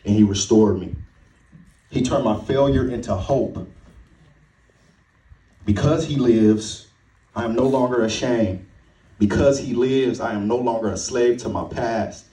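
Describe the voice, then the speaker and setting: A man's voice: mid-range voice, unhurried, long pauses, warm tone, close to an everyday voice. A man playing a remorseful, redeemed disciple in an earnest dramatic Easter monologue with a preacher-like cadence. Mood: restored, resolute. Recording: roomy, distant mic, faint noise.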